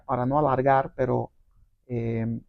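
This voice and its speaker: A man's voice: medium pitch, little pitch movement, unhurried, gravelly, no room sound, muffled recording. A middle-aged man whose first language is not Spanish, telling his story in a casual interview, rambling with many fillers and tag questions. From abroad, not Mexico. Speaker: guest entrepreneur.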